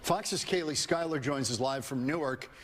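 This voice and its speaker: A man's voice: medium pitch, normal speed. An American man anchoring television news and talking with a reporter.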